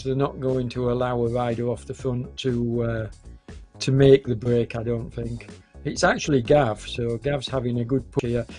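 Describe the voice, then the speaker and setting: A man with a cold commentating a live esports cycling race, hesitant and full of fillers, with a hoarse voice and occasional coughs. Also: medium pitch, a little mellow. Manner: hesitant, many fillers, unhurried.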